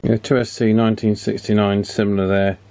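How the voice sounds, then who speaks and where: Low-pitched British man, musing through a repair. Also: little pitch movement, a little fast, darkish tone. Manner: musing aloud, matter-of-fact. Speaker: radio repair hobbyist presenter. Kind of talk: casual hands-on repair commentary.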